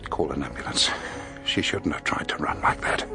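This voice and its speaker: A man's voice: very deep voice, normal speed, over music. An actor playing a concerned, commanding man in a radio mystery, grave and urgent. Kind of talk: radio drama acting.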